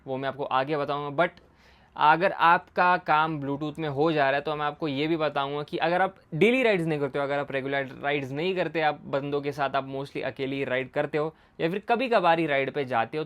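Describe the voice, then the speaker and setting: A man's voice: a little high, fairly bright tone. A man giving a casual product explainer in a conversational way, mixing in English words and repeating his points. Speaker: motorcycle gear reviewer and video host.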